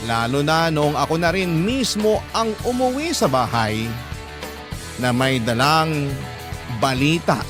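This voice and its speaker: A man's voice: a little high, very animated, slow, long pauses. A man narrating a listener's letter in the first person as a radio storyteller. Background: instrumental music.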